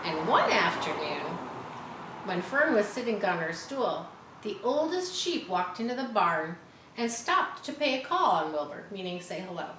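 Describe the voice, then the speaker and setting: A woman reading a children's book aloud in an expressive storytelling style, in a roomy space. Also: mid-range voice, unhurried. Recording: roomy echo with faint background noise.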